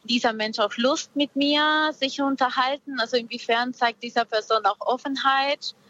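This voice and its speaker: A woman's voice: high voice, fairly even intonation, normal speed, pushed and bright. A dull, lo-fi line carries a woman. She talks casually and reflectively, often searching for words as she goes. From Peru. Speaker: caller, guest on a radio show. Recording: dull, lo-fi connection.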